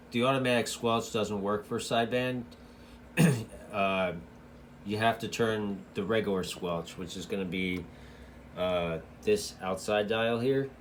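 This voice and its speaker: A man's voice: fairly low pitch, slight background noise. A man giving a casual, loosely explained product walkthrough as a radio hobbyist reviewer, in an informal, rambling way.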